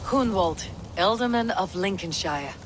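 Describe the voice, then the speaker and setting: An actor playing a bold, battle-hardened Viking warrior leader in a video game cutscene. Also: male voice, sits high, animated, measured pace, background noise. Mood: firm.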